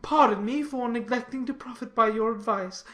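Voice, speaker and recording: Lady doing a fussy pompous clergyman for an audiobook, really high voice, wordy and obsequious. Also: moderate pace, a bit bright, natural voice. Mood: pompous, insistent. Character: pompous, obsequious clergyman, self-important and excitable. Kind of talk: dramatized classic novel reading.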